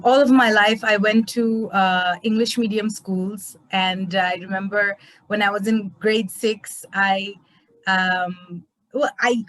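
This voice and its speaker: A young woman from Bangladesh, a translator, chatting on a video call, warm and chatty with many fillers and restarts. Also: medium pitch, no echo, low-quality recording. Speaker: award-winning translator. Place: small indoor room. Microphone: laptop webcam on video call.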